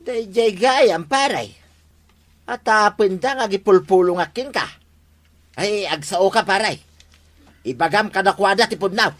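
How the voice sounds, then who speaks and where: A man's voice: high-pitched, a little slow, pauses often, fairly bright tone. An actor with an Ilocano accent playing an aggrieved, protesting older village man in a radio comedy drama. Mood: pleading. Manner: plaintive, protesting.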